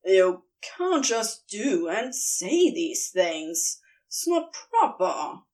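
A woman's voice: medium pitch, big pitch swings, extremely slow, darkish tone, far from the mic. A woman voicing a grumpy, flustered male demon who protests in huffy, embarrassed bursts.

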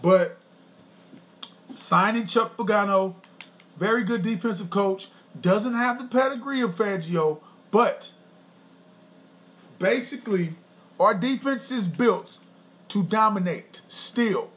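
A man co-hosting a podcast with his wife, talking in a loose, boastful, rambling way and clearing his throat often. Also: high-pitched, slow pace, pauses often, a bit bright. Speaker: podcast co-host. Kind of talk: casual chat.

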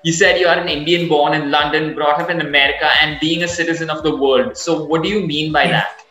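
Bright-voiced male podcast host, echoey. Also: a little high, steady tone, brisk, faint music behind. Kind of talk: conversational interview.

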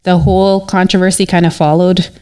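A woman's voice: fairly low pitch, flat delivery, fairly quick, a little mellow, smooth. A young woman born in Jamaica, talking in a casual interview as a mural painter, with many fillers and restarts.

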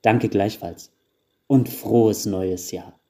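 A man's voice: on the low side, steady tone, very slow, mellow. A man voicing an ancient, hard-of-hearing gnome grandfather in a storybook tale. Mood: grateful.

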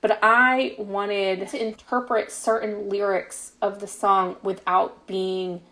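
A woman's voice: medium pitch, little pitch movement, slow pace, fairly bright tone, low-quality recording. A woman with a mid-range voice talks as a guest author in a casual interview. She explains her creative choices reflectively, in loose, conversational sentences peppered with filler phrases. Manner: reflective, explains at length with frequent "you know" and "like".

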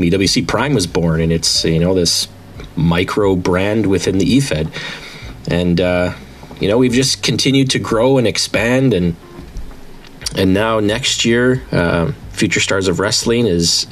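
Deep-voiced enthusiastic man in his thirties. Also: slight background noise. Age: 30s. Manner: enthusiastic, rambling, many restarts. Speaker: founder and owner of an online wrestling league. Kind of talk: casual monologue to an audience.

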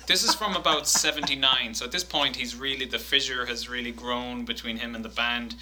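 Man, bright forceful voice, casual podcast banter, animated quote reading. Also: mid-range voice, fairly quick. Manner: animated, swearing, laughing while reading quotes.

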